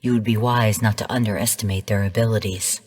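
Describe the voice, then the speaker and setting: An actress playing a female artificial intelligence mentor in a video game, delivering mission briefings in a calm, stern, measured and formal way. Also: very deep voice, husky, big-room sound. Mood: cool warning. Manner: measured, formal, cool, precise. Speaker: game guide and mission narrator.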